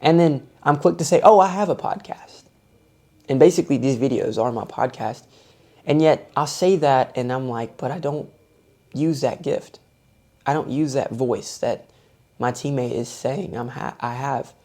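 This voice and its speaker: A man's voice: medium pitch, normal speed, darkish tone. A young man vlogging with a soothing voice, talking through his thoughts in a thoughtful, self-searching way. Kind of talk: reflective personal monologue.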